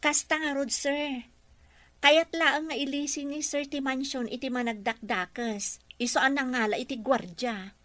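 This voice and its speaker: An actress with an Ilocano accent playing a polite, hesitant nurse and assistant in a radio drama. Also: female voice, high voice, fairly even intonation, normal speed, fairly bright tone, no room sound. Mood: wary, polite. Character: deferential, hesitant young female nurse and assistant.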